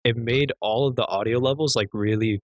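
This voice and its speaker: A young man co-hosting a casual podcast, with a quiet, slightly hoarse voice, rambling and joking with plenty of swearing. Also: medium pitch, brisk, no echo, clean studio sound. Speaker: podcast co-host. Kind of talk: casual chat.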